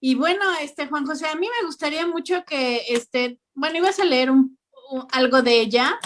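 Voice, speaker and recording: A woman hosting a casual interview over a video call, talking in a relaxed, conversational way. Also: high-pitched, normal speed. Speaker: host.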